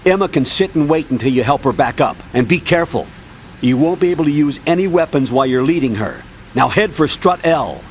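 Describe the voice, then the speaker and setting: A man playing a brisk, authoritative military colonel briefing in a video game. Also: fairly high pitch, animated, slight background noise, natural voice. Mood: instructive.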